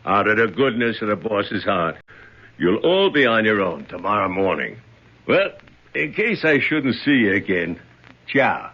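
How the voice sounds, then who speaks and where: A man's voice: on the low side, sing-song, unhurried, slightly rough, full character voice. An actor playing a sneering male gangster henchman in a 1970s radio drama, mocking and full of tough-guy slang. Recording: muffled old radio broadcast.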